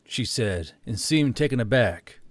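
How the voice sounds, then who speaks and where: A man's voice: medium pitch, expressive, a little slow, dry recording. A man reading scripted fiction as an audiobook narrator, close to the microphone.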